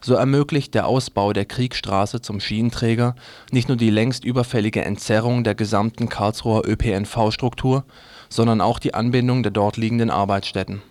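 A man's voice: fairly low pitch, fairly even intonation, moderate pace, a little mellow, dry recording. A man reading scripted news items as a radio news presenter. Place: dry radio studio.

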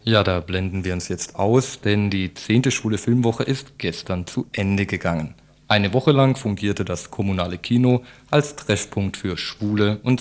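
Low-voiced man presenting radio news. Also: a little mellow. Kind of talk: scripted radio news narration.

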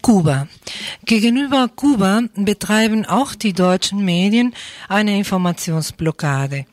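A radio news reader presents a scripted report. The voice is medium in pitch and the recording is dry, with hardly any room sound. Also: lively intonation, unhurried, no echo.

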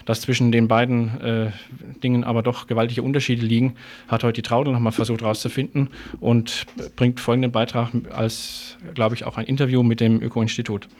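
A man's voice: medium pitch, level tone, a little fast, a little mellow. A man presenting a radio programme, introducing items in a loose, conversational way.

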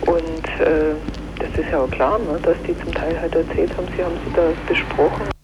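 A woman lawyer giving a casual spoken explanation in an interview, halting and colloquial. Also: sits low, steady tone. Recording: noisy, dull telephone line.

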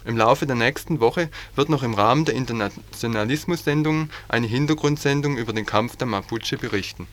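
A man with an ordinary mid-range voice reads the news from a script. His delivery is earnest and steady, like a bulletin on 1980s community radio. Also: medium pitch, brisk, few pauses, a little hiss or hum. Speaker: news reader.

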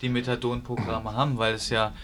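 A man taking part in a panel discussion, setting out arguments in a measured way. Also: medium pitch, level tone, a bit bright. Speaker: discussion participant.

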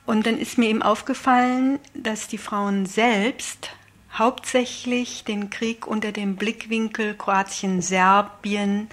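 A woman's voice: mid-range voice, steady tone, slow pace. A woman interview guest speaking earnestly and argumentatively in a radio studio, explaining political views and posing rhetorical questions. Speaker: interview guest, aid convoy volunteer.

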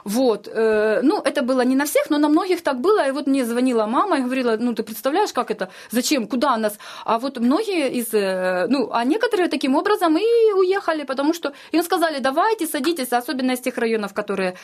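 A woman recounting personal experience in a casual interview, animated and acting out what other people said. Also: high-pitched, quick pace, few pauses. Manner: animated storytelling, acts out reported speech. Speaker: interviewee, eyewitness.

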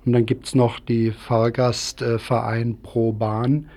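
Male interview guest, soft dark tone, hesitant explainer, activist speaking off the cuff. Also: medium pitch, level tone. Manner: halting, explanatory. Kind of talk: unscripted radio interview.

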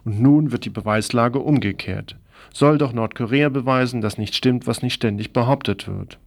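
A man's voice: medium pitch, normal speed, gravelly, no room sound, studio quality. A man presenting a scripted political radio commentary in an explanatory way, with ironic asides.

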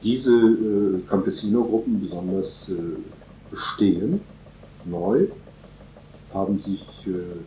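A man as a guest in a radio interview, speaking haltingly with many fillers and restarts. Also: on the low side, expressive, warm tone, echoey room, a little hiss or hum, rough recording. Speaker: interview guest from a solidarity group.

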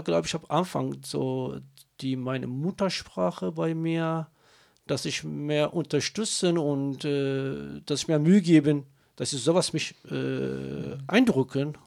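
A Kurdish singer whose first language is Kurdish, speaking hesitant, broken German with many fillers while being interviewed. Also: male voice, fairly high pitch, creaky, a little mellow, dry recording, clean studio sound. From Kurdish region of Turkey. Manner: halting non-native German with many fillers. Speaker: singer, guest. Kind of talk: interview answers.

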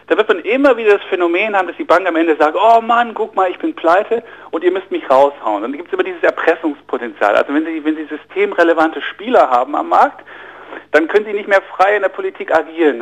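A man with a German accent speaks as a radio interview guest, explaining finance in long, engaged runs. His voice sits a little high for a man. He hesitates often, repeats words and fills pauses with ums while searching for the next phrase. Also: a bit bright, low-quality recording. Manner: fluent but halting, many fillers and repeated words. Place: radio studio.

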